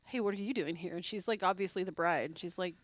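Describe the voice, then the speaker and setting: A woman's voice: fairly low pitch, quick pace, no room sound. A woman co-hosting a live music stream, chatting casually in a rambling, self-deprecating way. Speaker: co-host and lyricist. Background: faint video game music.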